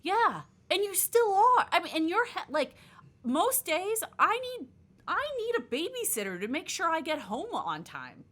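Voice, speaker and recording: A woman in her late thirties who pushes her points and talks over the others. Her pitch swings widely and her tone is bright. She speaks as a nutritionist guest in a loose group conversation. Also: very animated, normal speed. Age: 30s. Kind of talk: casual chat.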